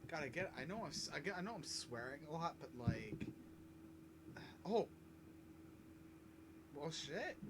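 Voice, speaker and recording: A man's voice: a little high, sing-song, unhurried, long pauses, background noise. A man giving casual, rambling let's-play commentary, swearing and mocking himself, between coughing fits at his desk mic. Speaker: gaming YouTuber.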